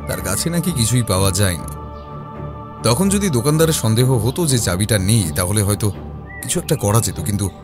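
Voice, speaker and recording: A man playing a composed detective who recounts his investigation in a measured, explanatory first-person narration. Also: fairly low pitch, natural voice. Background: background music.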